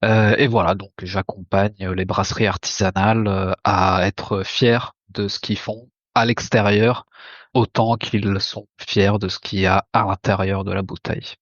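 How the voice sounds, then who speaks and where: A man in his thirties, from Italy, talks as a podcast guest, hesitating often and filling pauses. His voice is low, and the recording sounds dull and lo-fi with a dry, close quality. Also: level tone, dry recording. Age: 30s. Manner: hesitant, many fillers. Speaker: guest, graphic designer. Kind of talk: casual interview chat.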